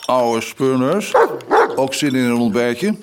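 A man's voice: medium pitch, expressive, slow, a little hiss or hum. An actor playing a middle-aged police inspector father in a Dutch radio play, dry and teasing, with a Netherlandic accent. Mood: dry, relaxed. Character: good-natured teasing police inspector father of two boys. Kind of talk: radio drama acting.

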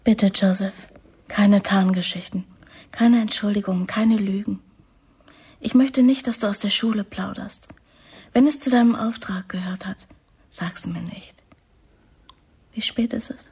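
An actress playing a defiant young woman recruited as an agent in a radio play, with a husky, breathy voice. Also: medium pitch, steady tone, measured pace, long pauses, a little mellow. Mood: pleading, hushed.

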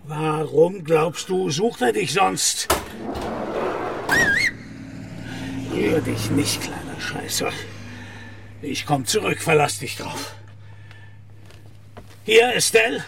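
An actor playing a menacing, grandiose older criminal who commands and taunts in a German crime radio play. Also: male voice, fairly high pitch, animated, vocal fry, noisy background, exaggerated voice. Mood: contemptuous. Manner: commanding, taunting, drawn-out then snapping delivery. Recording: music under the voice.

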